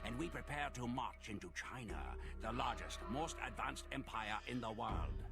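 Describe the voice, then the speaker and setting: Man acting a gravelly, solemn Mongol warrior storyteller. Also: medium pitch, close to an everyday voice. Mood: triumphant, grim. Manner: solemn, grandiose, measured. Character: proud, ruthless Mongol warrior recounting his Khan's conquests. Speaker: narrator of game cutscenes. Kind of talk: dramatic scripted storytelling narration. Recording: music behind the voice.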